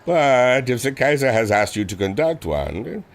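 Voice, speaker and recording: An actor playing a modest, earnest German engineer with a German accent, speaking formally and a little hesitantly in a radio drama. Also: male voice, mid-range voice, big pitch swings, measured pace. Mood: polite, curious. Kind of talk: radio adventure drama acting.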